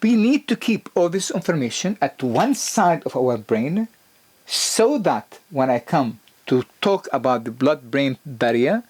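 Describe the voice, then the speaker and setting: A man lecturing to the camera about health in a husky voice. His pitch jumps up on key points, and he talks with restarts and fillers. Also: sing-song, a little slow, darkish tone. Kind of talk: explanatory lecture video.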